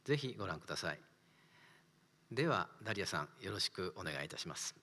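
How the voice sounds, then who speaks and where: Older man, seminar moderator; brisk, lowish, formal; studio with lingering reverb. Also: big pitch swings, sharp tone. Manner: polite and formal. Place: studio with wood panels. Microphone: desk mic.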